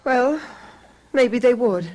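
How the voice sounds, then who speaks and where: A high-pitched, very animated housewife in a radio play. She is fretful and hesitant, worrying aloud and pressing her point. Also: slow. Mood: anxious, insistent. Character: anxious housewife troubled by a feeling about missing friends. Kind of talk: radio drama acting.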